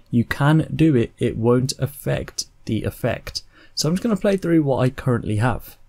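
A man in his twenties narrating a software tutorial in an informal, step-by-step way. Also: mid-range voice. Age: 20s. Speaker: tutorial host.

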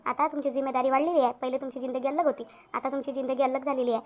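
An anxious, doting village mother played by a woman in a cartoon family story. She talks fast and high with a Vidarbha Marathi accent, pleading and saying things over again. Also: little pitch movement, lo-fi sound, natural voice. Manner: pleading, repetitive. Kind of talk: amateur cartoon story dialogue.

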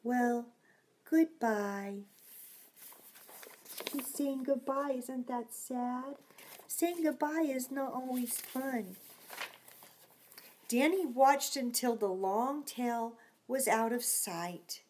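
A middle-aged woman reads to young children in a high voice. She takes her time and breaks off for chatty asides, encouraging her listeners with simple questions. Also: slow pace, darkish tone, slight background noise. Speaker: children's story reader. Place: small home room, indoors.

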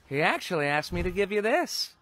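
A man's voice: high-pitched, very animated, a little fast, very noisy. A man voicing a smug, mischievous cartoon rabbit with a laid-back, sarcastic manner. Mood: smug, sly. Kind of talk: animated series voice acting.

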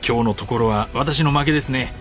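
A man playing a suave, teasing gentleman phantom thief in a comic radio drama, with slow, grand delivery. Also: mid-range voice, faint music behind, voice pushed down, lightly acted. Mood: smooth, teasing.

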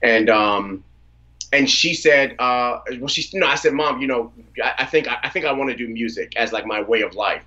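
A man in his thirties from the Washington DC area, a rapper telling relaxed stories about his family and career as a guest on a video-call interview. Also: mid-range voice, normal speed, muffled recording. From United States, Washington DC area. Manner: relaxed storyteller, laughs easily. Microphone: video call from a phone or laptop.

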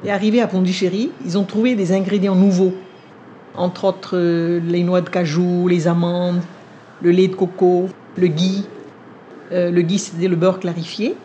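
Older woman with Vietnamese as her first language, low mellow voice, unhurried and reflective, interview in a living room. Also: flat delivery, dull audio, a little hiss or hum. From India. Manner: measured, thoughtful, with frequent pauses and hesitations. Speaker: cookbook author. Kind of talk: sit-down interview.

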